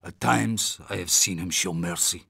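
A troubled, idealistic young Red Army man from a war video game. He speaks English with a Russian accent and a heavily gravelly edge. The recording is dry, with no room around the voice. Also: sits low, measured pace, bright, forceful tone, no room sound, full character voice. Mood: somber, reflective. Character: idealistic young Soviet soldier, troubled by brutality. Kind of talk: video game character voice lines.

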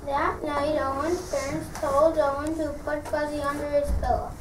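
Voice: high-pitched, little pitch movement, normal speed. A young child reading story narration from a script in a school play. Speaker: pupil narrator in class play. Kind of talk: scripted read-aloud narration. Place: school classroom, indoors. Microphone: distant camera. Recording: echoey classroom, distant mic, noisy.

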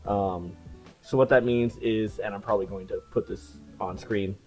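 A person in their late twenties chatting casually to camera in a vlog, relaxed and full of fillers and soft laughs, on a headset mic in a small room. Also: low voice, moderate pace, faint music behind. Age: late 20s. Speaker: video creator. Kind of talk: casual talking-head vlog. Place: small cluttered room indoors.

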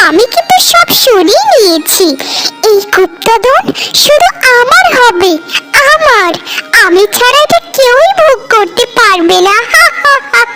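A woman's voice: very high voice, low-quality recording. A woman voicing a greedy, gloating treasure hunter in a cartoon, boastful and exclaiming. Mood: scheming. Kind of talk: cartoon villain acting.

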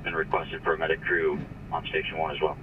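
Man, fire radio operator, very low voice, brisk pace, tinny noisy two-way transmission. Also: completely flat delivery, far from the mic. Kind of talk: procedural radio report.

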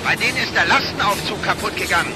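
An actor playing a brisk, impatient male boss in a radio crime drama, urgent and bossy. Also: high-pitched, loud music behind the voice, natural voice.

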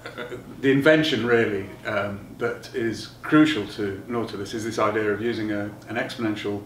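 A middle-aged man giving a technical explanation as an interviewed designer, halting and thinking aloud as he goes. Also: on the low side, steady tone. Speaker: loudspeaker designer being interviewed. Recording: roomy, distant mic, noticeable background noise.

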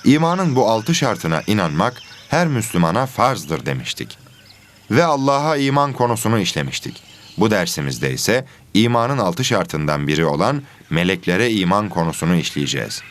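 A man playing a patient religion teacher in an educational children's cartoon, explaining things clearly and didactically. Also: on the low side, sing-song, moderate pace. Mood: instructive. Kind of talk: scripted educational cartoon dialogue.

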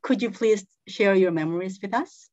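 Woman calmly leading an online game. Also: mid-range voice, moderate pace, no room sound. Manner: patient, explaining step by step with soft laughs. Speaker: game facilitator. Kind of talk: casual guiding of an online game. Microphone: video call. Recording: dull, dry video call audio.

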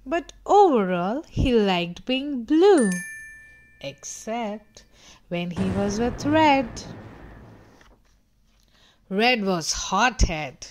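A woman narrates a children's storybook in a fairly high voice. Her pitch swings expressively, and she takes her time, phrasing each sentence very slowly and dramatically. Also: sing-song, darkish tone, slight background noise. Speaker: storybook reader.

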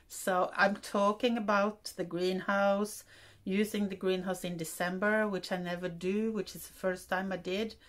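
Slow-talking Norwegian woman vlogger. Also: medium pitch. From Norway. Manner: halting, searching for English words. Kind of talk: casual chat to camera. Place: indoor wooden cabin room.

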